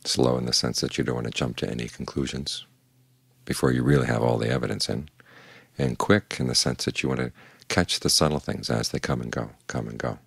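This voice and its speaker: An older man, a Buddhist monk, giving a calm, measured evening meditation talk in plain words. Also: very deep voice, gravelly, slightly rough, no echo.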